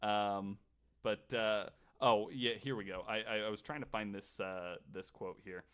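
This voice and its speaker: A man hosting a podcast, telling stories casually in a halting, conversational way. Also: lowish voice, fairly even intonation, a bit bright, clear, resonant tone. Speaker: podcast host. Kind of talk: casual storytelling and chat.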